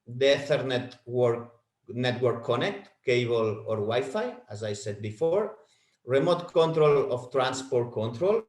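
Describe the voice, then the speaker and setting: A man whose first language is Spanish, presenting a product webinar from home in halting, self-correcting English. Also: mid-range voice, animated, a little mellow, roomy sound.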